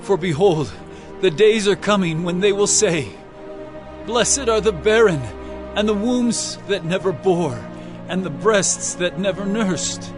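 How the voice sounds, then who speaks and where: A man acting a sorrowful, solemn prophet with measured delivery in a dramatized scripture recording. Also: high-pitched, music in the background.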